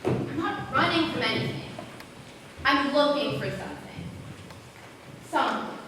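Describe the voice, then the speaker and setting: A woman's voice: sits high, lively intonation, a little slow, pushed and bright, full character voice. A young female student actor playing a curious, wry girl who comforts strangers in a forest, in a school stage play recorded from far off with echo. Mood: guarded. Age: teens or young adult. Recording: echoey stage from far off, noisy.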